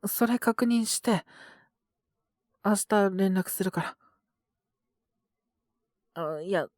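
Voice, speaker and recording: A woman playing a sick young male schoolteacher in a Japanese audio drama, gentle and flustered, speaking hesitantly in a weak, feverish mumble. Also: medium pitch, no room sound, studio quality. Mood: apologetic, hesitant. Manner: hesitant, mumbling, trailing off with many pauses. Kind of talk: Japanese boys' love situation voice drama.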